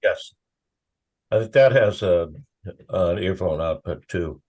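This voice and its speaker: A man in a casual meeting discussion, speaking haltingly with pauses mid-thought as a participant. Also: deep voice, darkish tone. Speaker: meeting participant asking questions.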